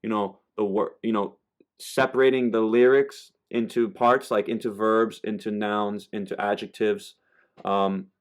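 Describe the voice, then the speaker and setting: American male YouTuber, slightly low voice, casual rambling explainer. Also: little pitch movement, a little slow. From United States. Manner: loose and rambling, restarting sentences. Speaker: YouTuber explaining German rap lyrics.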